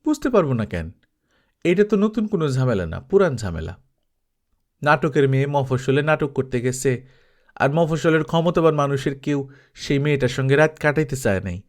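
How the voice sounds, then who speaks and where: A man voicing a hard, bitter young stage actress in a story reading, with a sharp, insistent, colloquial manner. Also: medium pitch, expressive, normal speed, natural voice. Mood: bitter, defiant.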